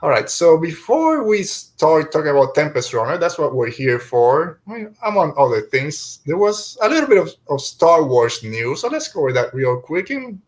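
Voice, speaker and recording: A man's voice: fairly high pitch, big pitch swings, moderate pace, few pauses. A man hosting a podcast in a casual, rambling, joking way. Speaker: podcast host.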